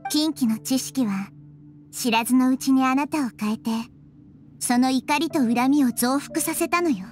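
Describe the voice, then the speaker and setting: A gentle little girl deity speaks in a high, light voice for a video game. She stays soft and composed, calm and kind in her reasoning. Faint music plays underneath. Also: unhurried.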